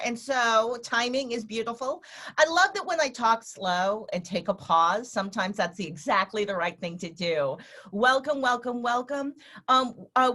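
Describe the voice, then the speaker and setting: A woman's voice: on the high side, fairly bright tone. Effusive woman hosting a video call. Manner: effusive, enthusiastic, welcoming. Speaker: host and facilitator. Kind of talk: hosting an online gathering.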